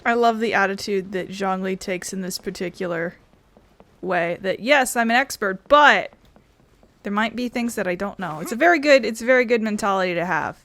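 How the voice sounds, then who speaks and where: A woman's voice: mid-range voice, normal speed, fairly bright tone. A woman giving casual, chatty let's-play commentary, musing aloud as the host of a gaming video.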